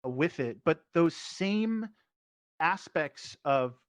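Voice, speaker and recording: A man's voice: fairly high pitch, lively intonation, takes it slowly, no room sound. A man speaking as a panelist in a casual discussion, feeling out his thoughts with some repeated words. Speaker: panelist, VR theatre performer. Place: online VR voice chat.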